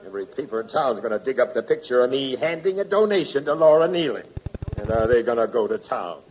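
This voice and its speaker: An actor playing a sarcastic, hard-nosed American police captain with a gruff, emphatic manner, in 1940s radio drama style. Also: male voice, medium pitch, lively intonation, fast, a little mellow, slight background noise, close to an everyday voice, rough recording.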